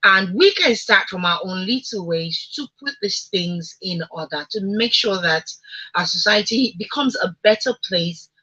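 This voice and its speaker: A woman's voice: medium pitch, animated, unhurried, pushed and bright, slightly rough, dull audio. A Nigerian woman hosting a conversational radio discussion, speaking emphatically and repeating words for stress. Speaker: radio talk show host.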